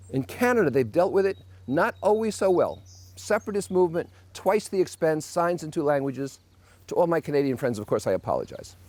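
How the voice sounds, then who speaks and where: A man's voice: a little high, a little mellow, dry recording. An older man from New Jersey with a New York accent, giving an emphatic, opinionated monologue with wry asides as a podcast host. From New Jersey, United States.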